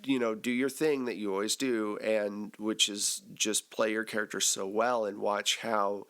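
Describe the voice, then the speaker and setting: A man's voice: lowish voice, steady tone, measured pace, a bit bright. Casual man hosting a podcast. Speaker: podcast host and game master. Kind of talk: casual post-game debrief.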